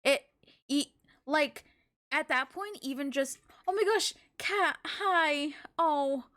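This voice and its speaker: A woman livestreamer chatting casually with viewers, halting and emotional in a gushing way. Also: high-pitched, bright, forceful tone. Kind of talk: casual live game stream chat, recapping to viewers.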